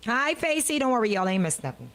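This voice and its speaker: A woman's voice: fairly high pitch, big pitch swings, fast, fairly bright tone. Caribbean lady radio cohost, casual playful chat, voice swoops up and down a lot. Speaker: radio co-host. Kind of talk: casual bantering radio talk.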